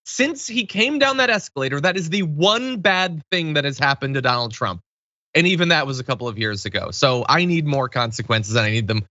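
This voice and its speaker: Male political commentary host, bright and punchy, sardonic and conversational. Dry, slightly muffled sound. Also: fairly high pitch, lively intonation, brisk, no room sound. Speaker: talk show host.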